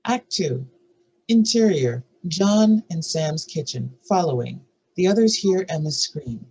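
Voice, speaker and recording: A husky-voiced narrator reads screenplay action lines at a table read. The delivery is scripted and descriptive, with a mid-range pitch and a rough edge. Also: animated, a little slow, a little mellow, rough recording. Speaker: narrator reading stage directions.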